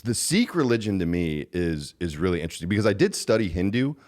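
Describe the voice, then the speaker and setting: A man's voice: deep voice, fast. A man hosting a casual podcast chat, teasing his guest and laughing easily. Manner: teasing, laughing, loose and lively. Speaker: podcast host.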